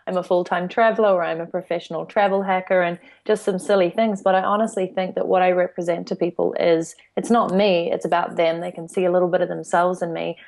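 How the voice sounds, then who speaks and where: Upbeat New woman with gravelly low voice. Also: fairly even intonation, quick pace, few pauses, darkish tone. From New Zealand. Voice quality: upbeat, laughs often. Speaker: guest entrepreneur. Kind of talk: casual interview answers. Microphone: remote call from abroad.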